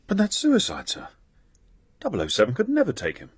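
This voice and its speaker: An actor playing a sceptical but loyal British intelligence chief of staff, speaking in a dry, clipped manner in a radio drama. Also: male voice, sits high, wildly swooping pitch, a little fast, husky, dry recording, full character voice. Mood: alarmed, bitter.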